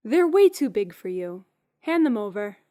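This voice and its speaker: A woman's voice: medium pitch, sing-song, moderate pace, mellow, natural voice. A woman acting a practical, loyal, dry-witted young servant in a fan-made visual novel. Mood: dry, exasperated.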